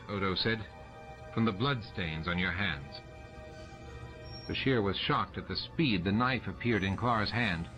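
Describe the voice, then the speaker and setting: A male audiobook narrator reads science fiction prose from a script. His voice sits fairly low, with clear music and sound effects running underneath.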